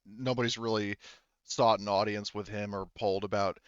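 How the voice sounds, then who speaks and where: A man's voice: on the low side, steady tone, normal speed, smooth, dry recording, dull audio. A man acting as game master, chatting casually through a tabletop game in an informal, self-correcting way.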